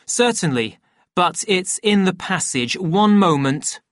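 A woman's voice: on the low side, lively intonation, slow, no echo, clean studio sound, pitched above the performer's own voice. Woman playing a raised-voice, defiant accused man. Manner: loud, clipped, bold. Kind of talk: audiobook character acting.

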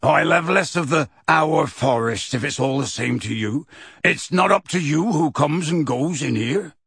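Guy doing a big gruff half-giant gamekeeper, gravelly and husky, folksy blunt talk, storybook style. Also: medium pitch, lively intonation, a little slow, a bit bright, no echo, studio quality, exaggerated voice. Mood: angry, defiant. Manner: rustic, blunt, folksy speech.

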